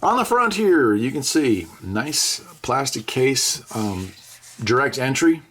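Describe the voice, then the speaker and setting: A man's voice: medium pitch, very animated, takes it slowly, a bit bright. An American man hosting a casual product review, talking conversationally and enthusiastically and repeating phrases for emphasis. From United States. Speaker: radio reviewer and video host. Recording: clear background noise, clicks of handling.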